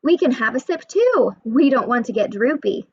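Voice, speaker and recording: A woman voicing a kind, cheerful tulip flower girl with a gentle reading manner. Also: a little high, sing-song, normal speed, breathy edge, dry recording, dull audio, full character voice.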